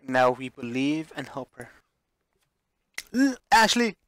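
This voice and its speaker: A man's voice: medium pitch, very animated, slow pace, fairly bright tone, dry recording. A man streaming a visual novel, chatting casually as he plays and reading the text aloud, sometimes stumbling and correcting himself.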